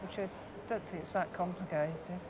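A woman's voice: lowish voice, fairly even intonation, normal speed. A woman, chatting casually and adding short practical comments during a motorhome tour. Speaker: co-presenter. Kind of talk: casual chat. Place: inside a motorhome at an indoor trade show. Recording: noisy exhibition hall.

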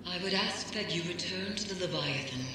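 A man's voice: sits high, animated, moderate pace. A man voicing a wary mystic ally in a game, speaking in a grave, foreboding way. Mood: uneasy. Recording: echoey hall with noise.

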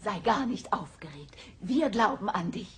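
A woman's voice: medium pitch, big pitch swings, moderate pace, slight background noise, natural voice. A woman voicing a devoted, anxious cartoon wife and mother, earnest and supportive in delivery. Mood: encouraging. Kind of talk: cartoon dubbing.

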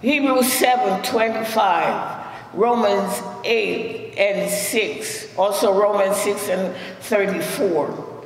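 A middle-aged woman minister with a Jamaican accent, preaching a sermon with frequent amens and shouted praise. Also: medium pitch, takes it slowly. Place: large church sanctuary. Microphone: handheld mic. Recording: echoey church hall.

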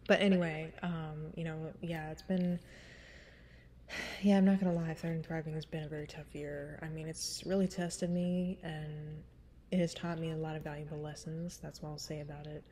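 A woman's voice: level tone, moderate pace, mellow, a little hiss or hum. A woman in her thirties with a smoky voice and a stuffy nose, chatting frankly and rambling with laughs into a webcam in a small room. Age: 30s. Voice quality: smoky, husky low voice. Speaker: singer vlogger. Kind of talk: casual chat before a cover song.

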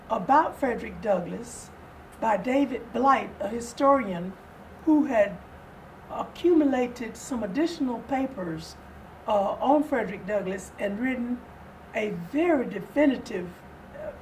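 An older woman in her seventies giving interview answers in a measured, formal, deliberate manner. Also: fairly high pitch. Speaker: guest, readers theater director. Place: furnished sitting-room set indoors. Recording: distant mic, audible room noise.

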